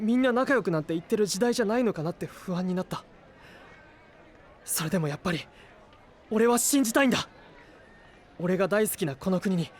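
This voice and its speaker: A male actor playing an earnest, hot-blooded young knight in an anime audio drama, speaking with sincere, idealistic conviction. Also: high-pitched. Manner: impassioned, sincere pleading.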